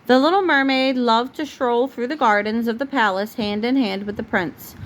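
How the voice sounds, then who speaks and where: A woman's voice: on the high side, moderate pace, low-quality recording. A woman reading a storybook aloud as a mother does for her young child, recorded at home. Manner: breaks off to answer the child patiently. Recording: home recording with clear background noise.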